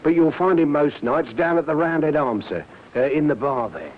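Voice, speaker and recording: An actor playing a deferential working-class bus driver giving witness in a radio drama, hesitant and respectful. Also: male voice, fairly high pitch, expressive, normal speed. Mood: hesitant, earnest. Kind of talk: old-time radio drama acting. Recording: tinny, narrow-band vintage radio recording.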